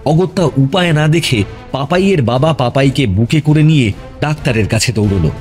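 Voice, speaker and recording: A man narrating a scripted audio story in an expressive storytelling style. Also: mid-range voice, moderate pace, faint music behind.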